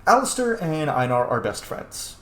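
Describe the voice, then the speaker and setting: A man's voice: a little high, sing-song, normal speed, a bit bright, roomy sound. A man playing a roleplaying game, commenting in a chatty, informal way and reading out his character's dialogue. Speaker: gamer, roleplay series host.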